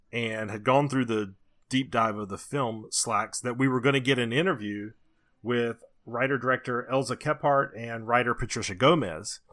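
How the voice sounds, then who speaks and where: A man's voice: medium pitch, pushed and bright. A man co-hosting a podcast in a casual, chatty style. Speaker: podcast co-host.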